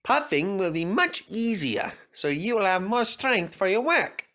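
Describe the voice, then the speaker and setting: An earnest railway official put on by a man with a raised pitch. He explains patiently and keenly, as in a children's storybook. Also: very animated, unhurried, pushed and bright, heavily acted. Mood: enthusiastic, instructive. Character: eager, official railway gentleman explaining a new invention.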